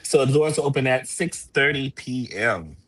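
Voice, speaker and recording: A man's voice: mid-range voice, animated, unhurried, crowd noise. A man who produces stage plays, speaking casually as an interview guest to promote his work.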